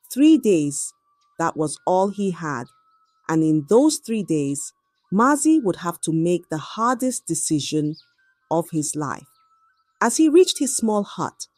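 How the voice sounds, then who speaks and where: A woman narrating a scripted folktale in a dramatic, measured storytelling manner. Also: on the low side, no echo.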